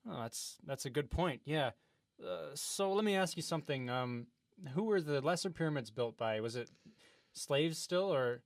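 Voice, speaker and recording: Higher-pitched man hosting a mock interview. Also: lively intonation, normal speed, dry recording. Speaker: comedy show host interviewing a guest. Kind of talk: improvised mock talk-show interview.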